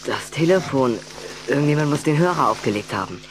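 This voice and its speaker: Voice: mid-range voice, lively intonation, a little fast, background noise, close to an everyday voice. A performer playing a wary, questioning schoolboy in a children's radio play, hesitant and suspicious. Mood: puzzled.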